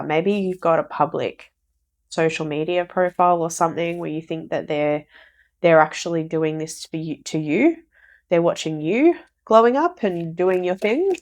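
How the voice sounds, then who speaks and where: Woman tarot reader, croaky voice, lowish pitch, rambling with fillers. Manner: rambling, repeats herself with fillers. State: croaky from recovering flu. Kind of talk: casual tarot reading to viewers.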